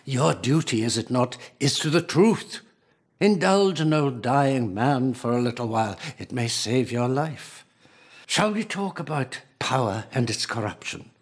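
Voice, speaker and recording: Husky, courtly old villain lord. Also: male voice, medium pitch, lively intonation. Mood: urbane, grave. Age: older. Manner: urbane, measured, formal, faintly mocking. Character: courteous, menacing old dying lord and conspirator. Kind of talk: radio drama acting.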